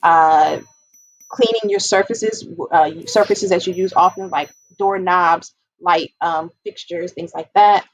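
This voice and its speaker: A woman's voice: fairly low pitch, fairly even intonation, a little slow, no room sound, lo-fi sound. A woman giving an informal, explanatory public health presentation, stressing and repeating her key points as an educator.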